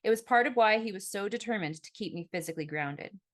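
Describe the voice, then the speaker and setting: A woman narrating a first-person novel as an audiobook, with an expressive storytelling delivery. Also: medium pitch, fast. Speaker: author reading own novel.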